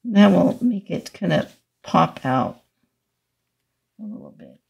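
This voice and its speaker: A middle-aged woman teaching watercolor step by step, speaking haltingly as she thinks aloud while painting. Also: on the low side, very animated, gravelly, darkish tone, husky. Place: indoors, small studio room at a table.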